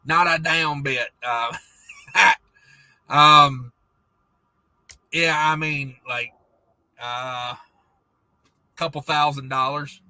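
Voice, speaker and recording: A man hosting a trucking livestream, reading viewer comments in a rambling, laid-back chat, and his voice is going hoarse. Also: a little high, steady tone, drawn-out words, pauses often, bright, forceful tone, dry recording, poor audio. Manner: rambling, laid-back, many fillers and restarts. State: losing his voice.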